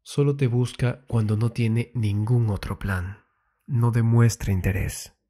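A man giving a soft, intimate ASMR monologue in a breathy voice that often drops to a whisper, soothing and confiding, close to the mic. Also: medium pitch, fairly even intonation, unhurried. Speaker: ASMR host giving love advice. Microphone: very close ASMR microphone.

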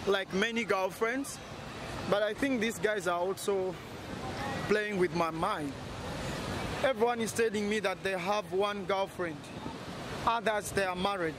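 A man from Uganda in his thirties, a travel vlogger chatting and teasing strangers on a city plaza. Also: high voice. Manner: chatty, teasing, asks quick questions. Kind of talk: casual street vlog. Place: busy city plaza outdoors. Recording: loud crowd and street noise. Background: crowd and city noise.

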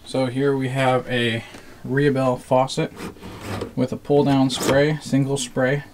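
A man's voice: mid-range voice, level tone, slow pace, a little mellow. A man giving a casual, hands-on how-to narration as a DIY video host. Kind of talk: casual how-to narration of a trailer plumbing setup. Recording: clear background noise.

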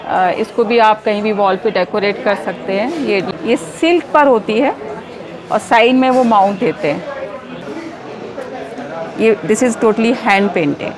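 A middle-aged woman explaining her handmade products at an exhibition stall, unhurried, mixing Hindi with English. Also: mid-range voice, lively intonation. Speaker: startup owner showing products. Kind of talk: casual product walkthrough at a stall. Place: indoor exhibition hall stall. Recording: busy expo crowd behind.

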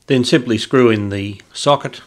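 A man, explaining a hobby project informally, in halting phrases with pauses. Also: medium pitch. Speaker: host of an amateur radio hobby video. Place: small indoor radio room at home.

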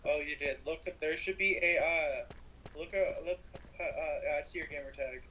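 A man talking casually and haltingly as a player in a multiplayer game. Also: on the high side, bright, forceful tone. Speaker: player in a Minecraft multiplayer roleplay. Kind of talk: casual gameplay chat. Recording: faintly noisy home game recording.

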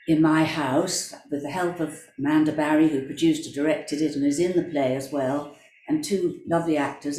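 An older woman with a British accent informally introducing her work, hesitant and pausing. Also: sits low, warm tone. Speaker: playwright, actor. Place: indoor parlour with fireplace. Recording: roomy, distant, dull pickup.

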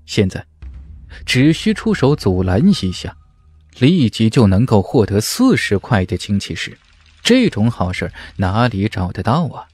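A man's voice: on the low side, big pitch swings, unhurried, a little mellow, slightly rough. A man narrating a fantasy novel in a dramatic, scripted audiobook style.

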